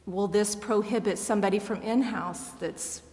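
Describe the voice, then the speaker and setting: A woman's voice: medium pitch, normal speed, a little mellow. An American woman speaking candidly and questioningly as a council member in a public meeting discussion. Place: indoor council chamber. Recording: echoey meeting chamber with noticeable background noise.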